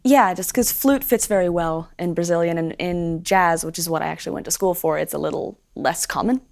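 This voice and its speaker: A young woman with an American accent talks animatedly as an interview guest, stopping often on fillers and restarts. Her voice sits low and has a gravelly edge of vocal fry. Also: a little fast. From Sweden. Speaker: guest musician. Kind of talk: casual interview chat.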